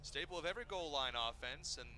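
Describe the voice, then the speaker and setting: A man giving color commentary on high school football from a press box, with dry, wry analysis. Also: lively intonation, fast, bright, forceful tone, a little hiss or hum. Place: press box at outdoor stadium.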